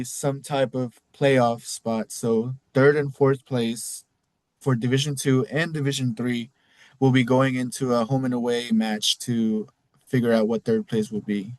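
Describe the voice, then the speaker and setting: A man's voice: medium pitch, dry recording, low-quality recording. A man with a Mexican accent hosting a casual league recap podcast, rambling loosely and cussing now and then. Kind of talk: casual solo recap talk.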